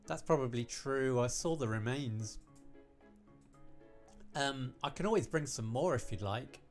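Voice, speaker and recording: A man's voice: mid-range voice, lively intonation, unhurried, soft background music, close to an everyday voice. A man voicing an earnest, hesitant young man in a visual novel.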